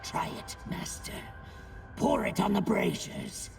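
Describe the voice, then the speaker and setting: An actor playing a grumbling, servile creature with a keen nose in a fantasy video game, croaking and halting. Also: male voice, high voice, animated, exaggerated voice. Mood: grudging, disgusted. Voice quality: croaking, gravelly. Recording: distant voice under loud game music.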